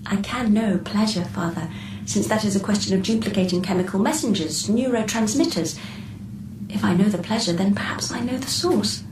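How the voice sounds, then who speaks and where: An actress playing a poised, precise artificial intelligence with a sly streak, speaking formally and exactly in a radio drama. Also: female voice, medium pitch, close to an everyday voice. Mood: reflective. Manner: formal, measured, exact phrasing. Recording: roomy, slightly distant, clear background noise.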